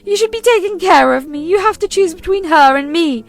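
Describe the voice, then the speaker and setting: A woman's voice: extremely high pitch, expressive, brisk, voice pushed up. A woman voicing a manipulative older mother-in-law who whines, accuses and melodramatically plays the victim. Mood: shrill accusing.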